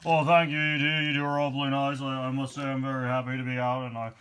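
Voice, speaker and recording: A man's voice: medium pitch, little pitch movement, quick pace, a bit bright, smooth, lightly acted. A man voicing a polite, grateful cartoon prison guard who stammers a little.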